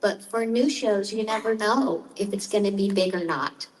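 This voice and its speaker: A woman's voice: medium pitch, moderate pace. A woman, a voice actor on a convention panel, chatting and lightly joking. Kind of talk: casual panel talk. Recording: distant mic in a panel room.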